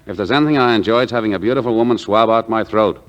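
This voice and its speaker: A man's voice: lowish voice, racing, lightly acted. A man acting a flirtatious, stubborn rich patient in a theatrical 1940s radio style, playful and wheedling. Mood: teasing. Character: flirtatious, stubborn wealthy male patient, eager and charming. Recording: muffled 1940s radio broadcast.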